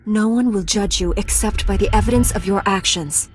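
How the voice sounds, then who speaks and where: A woman's voice: mid-range voice, moderate pace, faint music behind, natural voice. Woman acting resolute young Jedi heroine, earnest. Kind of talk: video game cutscene acting.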